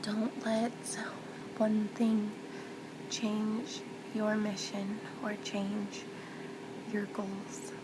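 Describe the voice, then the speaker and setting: A woman acting as a loving mother comforts her child in a soft ASMR role play. Her delivery is slow and very even, tender and reassuring, often dropping close to a whisper. Loud ambient noise runs under the voice. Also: medium pitch. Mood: reassuring, earnest.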